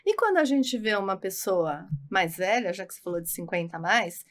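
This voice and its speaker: A woman's voice: medium pitch, animated, a little fast. A middle-aged woman with a Brazilian accent, talking as a guest in an emphatic, conversational, explanatory way. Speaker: podcast guest, consultant.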